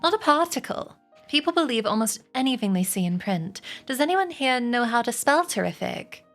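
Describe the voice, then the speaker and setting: Woman as a high, kindly, clever female spider. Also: very animated, a bit bright, faint music behind. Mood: brisk, indignant. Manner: brisk, articulate, sweet.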